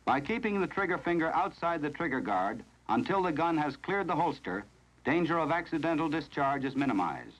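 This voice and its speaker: An American man reading scripted narration in the formal, measured, instructional manner of a 1970s training film, on a vintage film soundtrack. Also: on the high side, very animated, poor audio.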